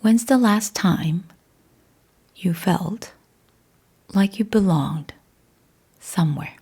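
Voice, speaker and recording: A woman narrates a guided meditation in a slightly low, mellow voice with a breathy, husky edge. Her delivery is hushed and measured, with deliberate pauses between phrases. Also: expressive.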